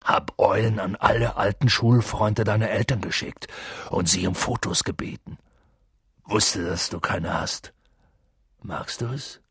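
A man voicing a huge, soft-hearted, guilt-ridden bearded man in an audiobook, with rough colloquial, halting speech. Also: fairly low pitch, steady tone, dry recording, clean studio sound, exaggerated voice. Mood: subdued, tender. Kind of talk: audiobook character voice.

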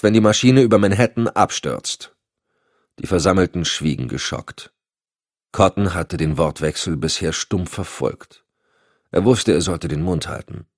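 A man's voice: low voice, slightly rough, no room sound, clean studio sound. A man reading a thriller audiobook as narrator in a scripted, dramatic style.

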